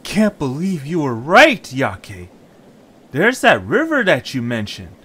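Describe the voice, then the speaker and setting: Man playing earnest, exasperated group leader, very animated. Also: fairly high pitch, slow pace, breathy edge, voice pushed up, heavily acted. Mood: exasperated, surprised.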